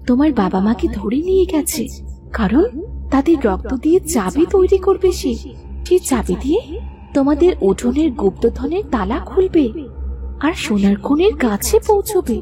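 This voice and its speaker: A woman voicing a gentle, earnest fairy who speaks solemnly and instructively in a children's cartoon. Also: high voice, big pitch swings, normal speed, faint music behind.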